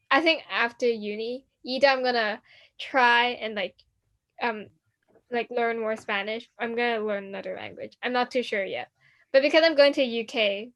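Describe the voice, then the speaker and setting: A woman's voice: on the high side, fairly bright tone. A teenage girl from Hong Kong co-hosting a student podcast, chatting casually with many restarts. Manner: rambling, many restarts and fillers. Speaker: podcast co-host.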